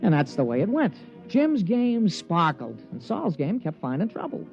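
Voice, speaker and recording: An actor playing an excitable, loyal young American golf caddy who tells the story in a folksy, colloquial 1950s radio drama style. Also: male voice, high-pitched, normal speed, creaky, full character voice. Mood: colloquial, keen. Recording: muffled old radio recording, music underneath.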